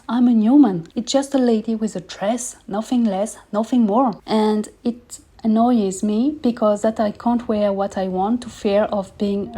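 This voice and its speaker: French woman, 30s, podcast host, earnest non-native English, mellow tone. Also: mid-range voice. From France. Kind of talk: personal podcast monologue.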